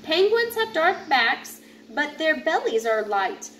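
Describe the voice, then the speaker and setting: A woman in her thirties reading a children's picture book aloud in a deliberate, instructive way, now and then stumbling over a word. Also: sits high, very animated, a bit bright. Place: home living area with kitchen, indoors. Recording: noisy home recording, room ambience.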